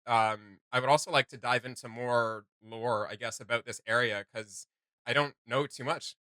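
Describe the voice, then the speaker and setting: Very bright-voiced man chatting casually. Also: mid-range voice, fairly even intonation, normal speed, no room sound. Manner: rambling, giggly, informal, many "like" and "you know". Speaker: reaction video host. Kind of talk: casual reaction chat.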